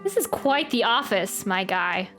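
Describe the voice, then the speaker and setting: A woman's voice: mid-range voice, lively intonation, a little slow, a bit bright. A woman doing a casual video game playthrough, reading game text aloud and adding wry asides. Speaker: game streamer. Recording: game music behind the voice. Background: video game music.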